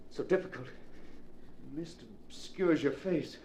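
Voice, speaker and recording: A man's voice: a little high, slow pace, creaky, a little mellow. A man acting a distracted, haunted husband in a 1940s radio melodrama, with a theatrical, dreamy manner. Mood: desperate, entranced. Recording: noisy vintage 1940s radio recording.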